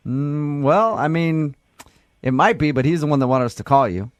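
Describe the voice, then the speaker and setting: A man's voice: on the high side, animated, normal speed, no room sound. A man with an American accent hosting a morning radio show, bantering and interviewing callers.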